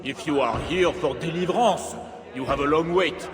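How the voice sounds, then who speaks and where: A man's voice: on the high side, normal speed, close to an everyday voice. An actor playing a weary male churchgoer in a video game. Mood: resigned. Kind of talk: video game cutscene acting. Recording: echoey hall with crowd murmur.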